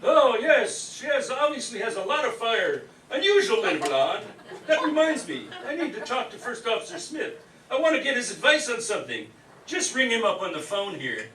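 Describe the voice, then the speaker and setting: A man's voice: very high voice, sing-song, moderate pace. A man playing a bumbling, pompous, oblivious spaceship captain in a comic live radio play, rambling and blustering theatrically. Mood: self-satisfied rambling. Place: live stage performance in a room. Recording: distant mic in echoey room, faint noise.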